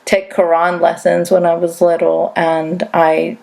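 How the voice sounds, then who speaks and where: Chatty woman podcast host, lowish voice. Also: level tone, measured pace, gravelly, a little mellow, poor audio. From Saudi Arabia. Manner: chatty, frequent "like" fillers. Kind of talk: casual interview chat.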